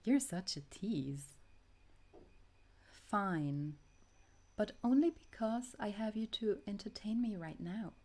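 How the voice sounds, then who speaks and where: A woman acting a tender, wistful girlfriend in an intimate romantic audio roleplay, speaking softly and breathily, often dropping toward a whisper. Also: mid-range voice, animated, slow pace, long pauses. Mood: playful, loving. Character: tender, wistful time-traveling girlfriend speaking to her beloved.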